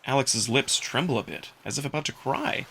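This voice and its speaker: Animated, bright-voiced male story narrator. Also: medium pitch, brisk, slight background noise. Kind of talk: dramatic first-person visual novel narration.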